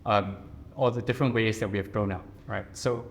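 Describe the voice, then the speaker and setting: A poet from Singapore with a Singaporean accent, telling a personal story in a measured, earnest way on a panel. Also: male voice, fairly low pitch, fairly even intonation, slight background noise. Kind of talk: personal reflective talk. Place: reception room at a residence.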